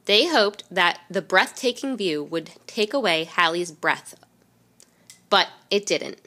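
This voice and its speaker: A woman reading a children's picture book aloud in an expressive storytelling way. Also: fairly low pitch, takes it slowly. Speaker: reader.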